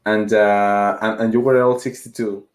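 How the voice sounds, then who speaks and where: A man's voice: on the low side, flat delivery, moderate pace. A young man hosting a casual interview over a video call, hesitant and full of fillers. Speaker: host. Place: small room at home.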